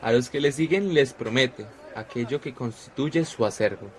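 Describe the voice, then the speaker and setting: A man's voice: medium pitch, moderate pace, close to an everyday voice. An actor playing Esteban, an earnest male disciple who speaks to a crowd in a solemn, preaching way, in a radio drama.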